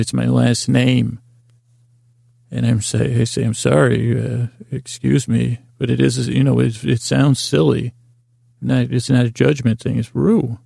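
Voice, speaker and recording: A man's voice: mid-range voice, creaky, mellow, dry recording, studio quality. A man hosting a podcast in a meandering, goofy bedtime monologue, with tangled sentences that double back on themselves. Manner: silly, goofy wordplay. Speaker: podcast host. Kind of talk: rambling bedtime monologue to help listeners fall asleep.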